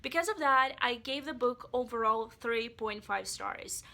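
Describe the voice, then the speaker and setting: A woman's voice: fairly high pitch, unhurried, vocal fry, sharp tone. A woman giving a chatty, rambling book review and speaking straight to her viewers, her voice a bit off from being sick. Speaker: book vlogger. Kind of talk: casual book review vlog.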